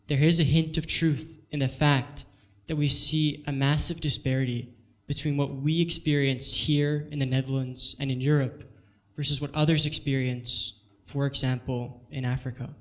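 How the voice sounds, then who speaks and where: A teenage student speaker, grown up in Singapore, giving an earnest, measured prepared talk. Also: male voice, medium pitch, little pitch movement. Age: teens.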